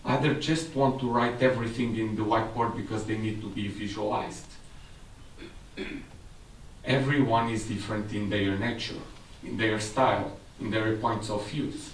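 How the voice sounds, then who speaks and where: A man's voice: lowish voice, steady tone, normal speed, a little hiss or hum. A man in his thirties with a Portuguese accent, giving a technical presentation at a seminar in a room with a large audience. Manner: explanatory, slightly non-native phrasing. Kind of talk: conference presentation. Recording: echoey room from far off.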